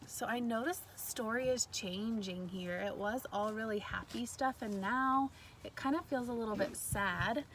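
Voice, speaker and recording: A woman with a fairly high voice speaks as an elementary teacher in a read-aloud lesson. She addresses children directly, in simple explanatory phrasing. There is faint noise behind her. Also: normal speed, fairly bright tone.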